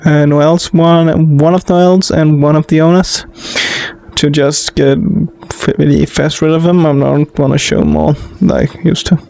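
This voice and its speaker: A man's voice: on the high side, a little mellow, no room sound. A man hosting a gaming video with casual, off-the-cuff let's play commentary.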